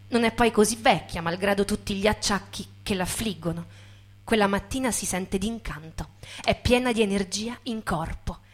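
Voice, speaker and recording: A woman performing as a storyteller, moving between theatrical narration and informal, reflective talk to an audience. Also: medium pitch.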